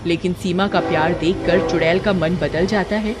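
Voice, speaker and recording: A woman's voice: lowish voice, fairly even intonation, normal speed, very noisy. A woman giving scripted narration of a horror story.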